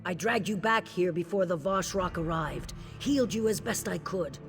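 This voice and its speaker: A woman's voice: lowish voice, moderate pace, music in the background, close to an everyday voice. A woman playing a devoted orc warrior queen in a video game, speaking solemnly, earnestly and with resolve. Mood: stern, reluctant. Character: devoted orc warrior queen, king's sworn shield-wife. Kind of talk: scripted video game dialogue.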